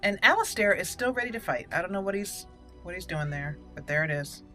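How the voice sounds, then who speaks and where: A woman's voice: on the low side, lively intonation, a little fast, sharp tone, faint music behind. A woman hosting a roleplay let's play, chatting casually and playfully as she thinks aloud. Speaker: game roleplay channel host.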